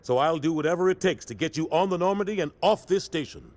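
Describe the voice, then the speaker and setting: A man's voice: on the high side, quick pace, darkish tone, close to an everyday voice. Resolute male military captain played by an actor. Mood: urgent, determined. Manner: earnest, urgent, authoritative. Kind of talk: acted game cutscene dialogue.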